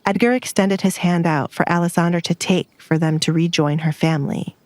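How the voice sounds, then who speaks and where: Low-toned woman narrating a story. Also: steady tone, a little fast, darkish tone, no room sound.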